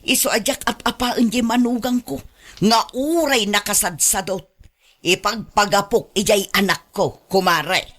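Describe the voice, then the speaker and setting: A woman's voice: mid-range voice, unhurried, a bit bright. A woman playing a fussy, complaining middle-aged mother-in-law in a radio drama, with an Ilocano accent. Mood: exasperated complaining. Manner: complaining, emphatic. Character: exasperated, doting older mother-in-law who does all chores.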